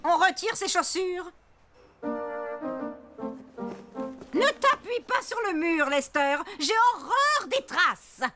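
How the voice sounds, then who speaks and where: A woman's voice: very high-pitched, very animated, a little slow, exaggerated voice. An actress playing a strict, house-proud, snobbish mother in a children's cartoon, scolding in a pompous, exclamatory way. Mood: scolding, outraged. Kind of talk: animated children's cartoon dub. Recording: music behind the voice.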